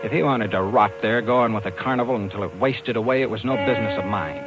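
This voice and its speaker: An American actor playing a determined talent agent who narrates, emphatic and hard-boiled, in a 1950s radio drama. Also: male voice, fairly low pitch, fast, loud music behind the voice, lightly acted, poor audio. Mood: hard-boiled narration. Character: determined, brisk New York talent agent man, narrator. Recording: hissy old radio recording.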